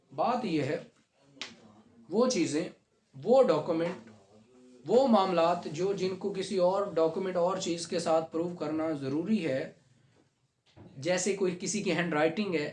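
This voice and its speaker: A man teaching a law class, reading legal text slowly and then explaining it conversationally to students. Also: fairly high pitch, a little mellow. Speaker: law lecturer. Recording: echoey classroom.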